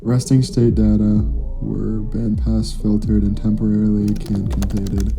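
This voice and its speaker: Low-voiced, halting young college student. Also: male voice, completely flat delivery, loud music behind the voice. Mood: distracted, studious. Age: young adult. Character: struggling, discouraged male college student. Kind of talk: naturalistic audio drama acting.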